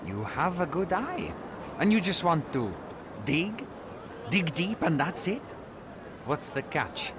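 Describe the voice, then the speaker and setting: A man's voice: on the high side, sing-song, takes it slowly, pauses often, noisy background. An actor playing a wary, blunt male recruiter for a dig in a video game.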